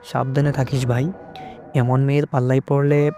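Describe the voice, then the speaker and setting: A man's voice: medium pitch, level tone, moderate pace, warm tone, lightly acted. A man playing a joking, teasing young college friend in an audio story. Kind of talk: scripted audio story acting. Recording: music under the voice.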